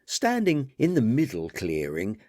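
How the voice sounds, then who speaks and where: A man reading literary fiction as an audiobook narrator, with a measured, deliberate delivery. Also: mid-range voice, huge pitch swings, darkish tone, dry recording, clean studio sound.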